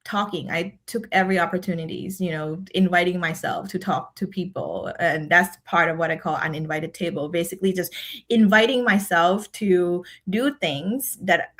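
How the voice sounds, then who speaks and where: Thai-accented woman guest, bright tone, earnest, laughs easily. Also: on the low side, normal speed. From Thailand. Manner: earnest and reflective, laughs often, repeats words while thinking. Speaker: guest, decision scientist and speaker. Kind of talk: podcast interview.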